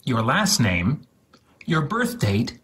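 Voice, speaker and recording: A man giving a deliberate, dramatic reading of step-by-step instructions with exaggerated enunciation, in a husky, breathy voice. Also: mid-range voice, wildly swooping pitch, background noise. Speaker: host.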